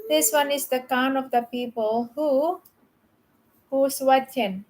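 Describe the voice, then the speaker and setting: A woman's voice: sits high, slow pace, a little mellow. A woman from the Philippines, hosting a casual live stream, chatting and greeting viewers by name.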